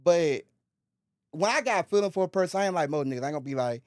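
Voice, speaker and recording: A young Mississippi man with a Southern accent and a high-pitched voice, speaking fast in a laid-back, low-key way. The sound is dry and close. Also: expressive, no echo. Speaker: rapper guest. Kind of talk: casual chat.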